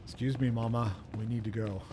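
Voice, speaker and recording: A man's voice: mid-range voice, fairly even intonation, a little fast, music in the background. A man chatting casually while playing an online game, playfully muttering to himself as he goes. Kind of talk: casual gameplay chat. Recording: clear background noise with game sounds.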